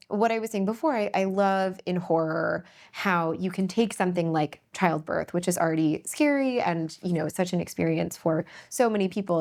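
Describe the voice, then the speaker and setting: A woman hosting a casual panel discussion. Her voice sits a little low, and she speaks thoughtfully, often starting sentences over with repeated words. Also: darkish tone. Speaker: host.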